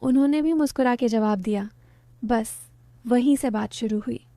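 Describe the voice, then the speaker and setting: Mellow-voiced woman narrating a story. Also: a little high, moderate pace, no room sound. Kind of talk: first-person story narration.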